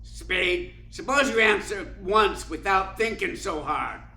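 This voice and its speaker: A man plays a curt police lieutenant in a radio detective play. His voice is high and cuttingly bright as he presses with suspicion. The sound has a clear room echo. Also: expressive, slow pace, full character voice. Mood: impatient, pressing. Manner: curt, pushy, interrogating. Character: gruff, suspicious male police lieutenant grilling a suspect. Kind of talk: 1940s radio crime drama acting. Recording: roomy echo.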